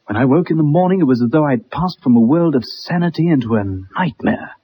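Young ex-soldier, bitter invalid, very husky, animated delivery, radio play, dull vintage sound. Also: male voice, fairly high pitch, brisk. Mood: haunted, horrified. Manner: dramatic, haunted storytelling. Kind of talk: 1960s radio drama acting. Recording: muffled, dull old radio recording.

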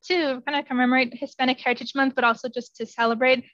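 Flat-toned woman guest on a dull line. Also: high voice, quick pace, no room sound. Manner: earnest, hedges with "I think". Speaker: interview guest, genetic counselor. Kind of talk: casual interview answers.

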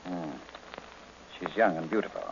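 An actor playing a large, imperious private detective in old radio drama, pompous, erudite and curt. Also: male voice, low voice, moderate pace. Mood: shrewd, probing. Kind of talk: 1940s-50s radio drama acting. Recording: noisy, muffled old radio recording.

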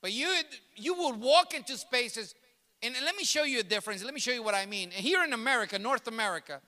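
A man's voice: very high voice, expressive, quick pace, bright, forceful tone. A middle-aged man whose native language is Spanish, preaching a sermon with emphatic, repeated phrases that build to passionate peaks. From grew up in New York City. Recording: long reverb of a large hall.

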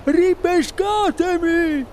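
A woman's voice: a little high, a little slow, warm tone, a little hiss or hum, voice pushed up, natural voice. A woman voicing a gluttonous, heedless fat young boy in a storybook, with a greedy, mumbling manner. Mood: panicked.

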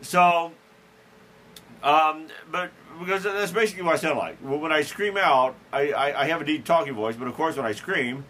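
A man's voice: fairly high pitch, moderate pace, a bit bright. A man hosting a podcast, telling a rambling, self-deprecating story in a casual chat, chuckling and restarting his sentences. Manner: frequent restarts and fillers. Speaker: podcast host.

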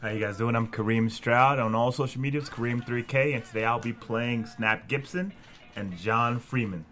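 A man's voice: medium pitch, moderate pace, fairly bright tone. A man who is an actor, giving a casual self-introduction to an audience. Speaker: actor in a table read.

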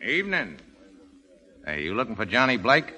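An American actor playing a sly, needling gangster in a 1930s radio drama, on a vintage recording. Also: male voice, medium pitch, very animated, moderate pace, bright, forceful tone. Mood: sly, goading.

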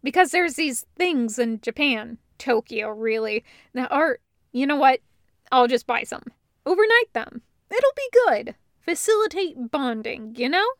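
Audiobook role: earnest, excitable male nerd played by a woman, casual and reassuring, slightly high voice, dry sound. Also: measured pace, no echo, exaggerated voice. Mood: eager, excited. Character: earnest, excitable nerdy inventor boyfriend, adult man. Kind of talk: acted dialogue in an audiobook reading.

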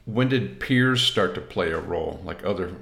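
A man interviewing, asking gentle, brief questions. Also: sits low, animated, normal speed, creaky. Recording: echoey room.

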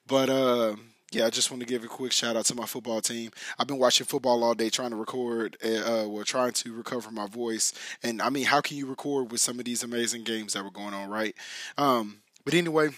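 A man with a hoarse, raspy voice hosts a solo podcast, rambling casually with plenty of fillers and restarts. His mid-pitched voice sits close to the mic in a dry, dead-sounding recording. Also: fairly even intonation, a little fast, a bit bright, dry recording. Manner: loose and chatty, many fillers and restarts. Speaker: podcast host.

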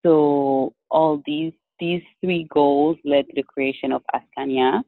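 A man's voice: fairly high pitch, fairly even intonation, gravelly, no echo. Man on a tinny line. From Haiti. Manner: measured, explanatory, with frequent restarts. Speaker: guest entrepreneur. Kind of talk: interview answers. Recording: dry, tinny phone-like line.

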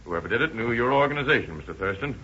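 A confident American police officer in a vintage radio crime play. His voice is bright and forceful at a middling pitch, authoritative and plain-spoken. The old recording is dull and hissy. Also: animated, racing. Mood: methodical, assured. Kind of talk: 1930s radio drama acting.

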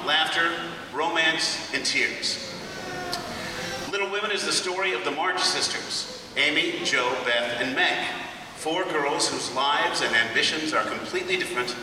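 A man announces formally from a hall with very heavy echo. His voice is a little high, sounding distant from the mic over clear noise, as he delivers a scripted introduction. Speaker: director and announcer. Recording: very echoey hall, far mic, noisy.

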